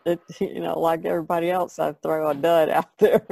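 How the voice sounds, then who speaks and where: A woman's voice: sits low, steady tone, moderate pace, creaky, warm tone. A woman co-hosting a podcast over a video call, chatting in an easygoing, self-deprecating way. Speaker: podcast co-host, pastel artist. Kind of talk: casual chat. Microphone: video call microphone.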